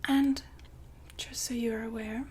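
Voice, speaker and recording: A woman playing the poised, businesslike madam of a futuristic establishment in an ASMR roleplay, whispering softly and formally to a client. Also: fairly high pitch, fairly even intonation, slight background noise. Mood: courteous, businesslike. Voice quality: soft, breathy whisper. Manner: polite, formal, unhurried saleswoman patter.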